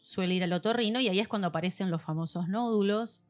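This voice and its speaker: A woman's voice: mid-range voice, fast. Argentine woman explaining voice care patiently. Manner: patient, teacherly, acts out examples. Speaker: voice specialist guest. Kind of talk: explanatory podcast chat.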